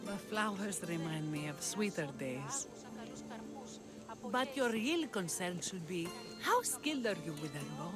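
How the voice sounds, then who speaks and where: A woman playing a brave young rebel leader and huntress in a video game, haunted yet tender, speaking in an earnest, measured, dramatic way. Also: lowish voice, sing-song. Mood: teasing. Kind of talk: cinematic video game acting. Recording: cinematic music behind the voice. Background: music.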